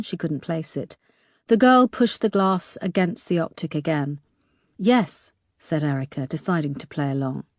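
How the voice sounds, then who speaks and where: Woman reading a crime novel, lowish voice, dry close mic. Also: darkish tone, dry recording. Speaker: audiobook narrator. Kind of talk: scripted narration.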